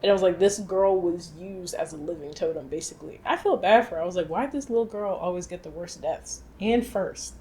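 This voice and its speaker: A woman talks over noticeable background noise. Her delivery is casual and opinionated, full of slang and animated asides, like a co-host reviewing films with a friend. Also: on the low side, brisk, few pauses. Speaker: co-host of a video game film review show.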